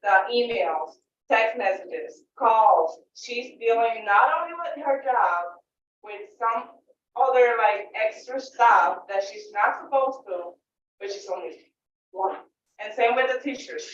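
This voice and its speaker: A woman from Puerto Rico with a Puerto Rican accent, giving halting, informal public comment as a parent. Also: a little high. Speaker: parent speaking at a public meeting. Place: indoor public meeting room. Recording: very echoey room, distant muffled mic, noise.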